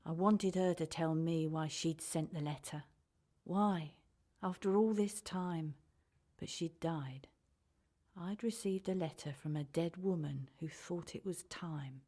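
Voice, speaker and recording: A middle-aged woman's lowish voice, wistful and full of regret. an anxious mother confiding in a radio drama monologue. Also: unhurried, dark tone. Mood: wistful, regretful.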